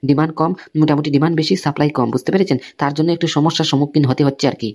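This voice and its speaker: A man's voice: fairly high pitch, fairly even intonation, rapid-fire, a little mellow. A man playing a placating goods supplier in a comic cartoon, full of reassuring, repetitive salesman's patter. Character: smooth-talking, placating male goods supplier.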